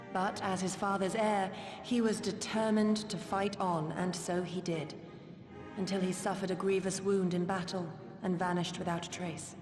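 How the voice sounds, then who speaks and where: An actress playing a courteous, loyal female resistance agent in a video game, speaking measured, formal, archaic English. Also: on the low side, lightly acted. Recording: echoey hall reverb under clear music.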